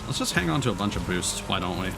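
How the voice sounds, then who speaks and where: A man's voice: on the low side, big pitch swings, quick pace, gravelly, noisy background. A man doing casual live gaming commentary, thinking aloud and chatting to his viewers. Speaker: livestreamer, gamer.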